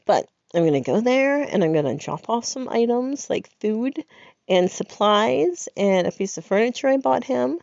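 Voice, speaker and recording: A woman's voice: on the high side, animated, normal speed, vocal fry, darkish tone, dry recording, highs cut off. A woman, congested from a head cold, chatting in a rambling, upbeat way as a podcast host. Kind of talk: casual personal chat.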